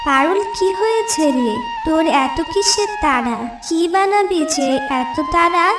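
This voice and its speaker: A woman playing a fussing cartoon mother, doting and a little scolding, voiced high and bright. The sound is distant and echoey, with music clearly under it. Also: expressive, unhurried.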